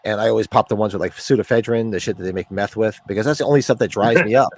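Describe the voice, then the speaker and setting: A man's voice: on the low side, rapid-fire. A man in his forties with a sniffly voice from allergies, chatting casually, rambling and swearing as he jokes. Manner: profane, rambling, joking. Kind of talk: casual chat.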